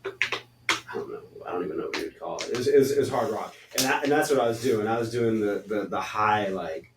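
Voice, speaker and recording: A man's voice: on the low side, brisk, background noise. A man, a band's singer, chatting casually on a podcast and often restarting his phrases. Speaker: band singer. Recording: roomy echo, distant mic.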